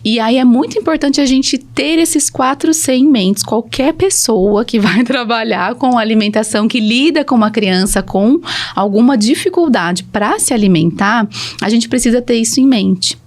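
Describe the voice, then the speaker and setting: A woman's voice: a little high, moderate pace. A woman with a Brazilian Portuguese accent, a speech therapist explaining things methodically and with emphasis in a podcast conversation.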